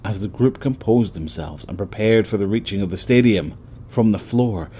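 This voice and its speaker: A man's voice: on the low side, normal speed, dark tone, breathy edge. A man narrating a scripted horror audio drama in a dramatic storytelling style. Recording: music under the voice.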